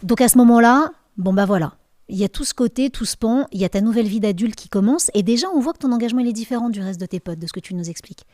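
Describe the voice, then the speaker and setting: A woman's voice: a little high, very fast, a little mellow, no room sound. A woman from France hosting a podcast interview, asking prompting questions in a friendly tone. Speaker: podcast host.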